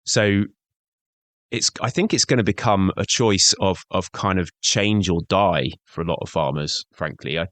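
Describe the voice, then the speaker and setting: A middle-aged man with a low voice speaks earnestly as an interview guest about his conservation work. His delivery is conversational, with many "you know" fillers, and the recording is dry and close. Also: no echo. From born in Scotland, grew up in Devon.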